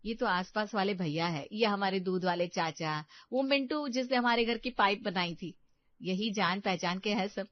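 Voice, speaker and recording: A woman voicing a practical, talkative young-wife character in a household story, with an animated, protesting manner. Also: medium pitch, normal speed, no echo, highs cut off, lightly acted. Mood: pleading. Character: practical, talkative elder daughter-in-law in a household.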